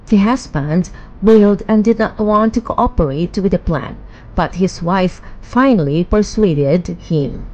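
A woman narrating a children's bedtime fairy tale in a measured, expressive storytelling manner. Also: mid-range voice, lo-fi sound.

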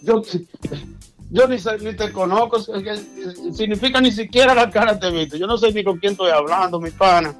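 A man's voice: sits high, normal speed, a bit bright, faint music behind. A man talking casually and informally on a phone call, explaining himself in repeated phrases. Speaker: prank call victim, ordinary night-shift worker.

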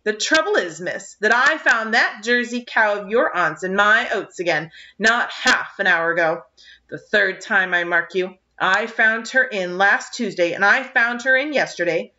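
An irate older farmer, short and stout, who splutters and blusters in a raised, strained voice that climbs well above the reader's own, as a woman puts him on for a storybook reading. The sound is bright and edgy, with a slightly dull, lo-fi quality to the recording. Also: very high voice, huge pitch swings, slow, few pauses, voice pushed up, exaggerated voice. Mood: furious.

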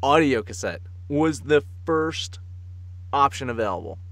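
A man's voice: medium pitch, lively intonation, measured pace, fairly bright tone, no room sound. Casual, chuckling man on a close mic. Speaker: podcast co-host. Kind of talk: casual comic chat.

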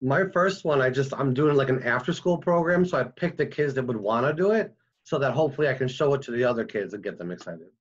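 A man's voice: mid-range voice, expressive, fast talker, hardly any pauses, breathy edge. Muffled casual man on a video call. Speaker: workshop participant. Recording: dull lo-fi video-call audio.

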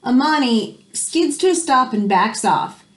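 Expressive, high-voiced woman reading aloud. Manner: expressive, teacherly, pausing to explain words. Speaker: children's picture book reader. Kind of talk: read-aloud storytelling with explanatory asides for children. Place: small room indoors at home. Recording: home recording with background noise.

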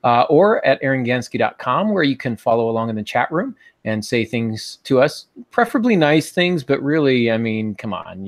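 A man's voice: mid-range voice, no room sound. A man in his forties hosting a podcast about writing, talking conversationally in an easygoing, lightly joking way. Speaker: podcast host and writing teacher. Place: small home office, indoors.

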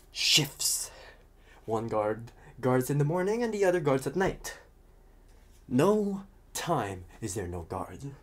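A man playing a talkative, restless psychopath convict in an ASMR roleplay, protective and scheming, with halting, muttered asides. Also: medium pitch, breathy edge, pitched below the performer's own voice. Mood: scheming, casual.

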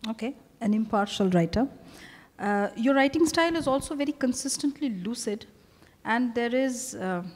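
A woman with an Indian accent, interviewing formally on stage in a measured, articulate way. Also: on the high side, lively intonation. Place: large hotel ballroom stage. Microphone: handheld microphone. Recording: echoey hall PA with long reverb.

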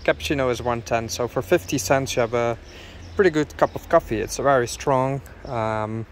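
A man narrating a casual travel vlog, explaining as he goes with many pauses, filmed outdoors on a handheld camera. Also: mid-range voice, slight background noise. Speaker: travel vlogger. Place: outdoors on village streets and in a parked car.

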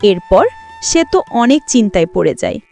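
A woman's voice: mid-range voice, big pitch swings, moderate pace, vocal fry, mellow, faint music behind. A woman, narrating a scripted fairy tale for children as a storyteller.

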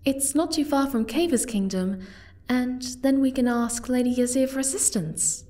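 A woman voicing a gentle, timid, caring young moth girl in an ASMR roleplay, soft-spoken and hesitant. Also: high-pitched, normal speed, close to an everyday voice. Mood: warm, curious.

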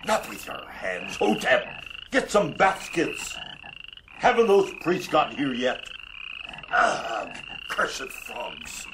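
A man's voice: medium pitch, huge pitch swings, slow pace, noisy background, full character voice. A man playing a haughty, exasperated Pharaoh of Egypt in a biblical audio drama, imperious and scornful. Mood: exasperated, commanding.

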